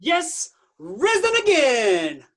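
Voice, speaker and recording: A woman's voice: high-pitched, huge pitch swings, very slow, full character voice. A woman playing a breezy, slangy member of a scheming group in a comic stage reading. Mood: excited.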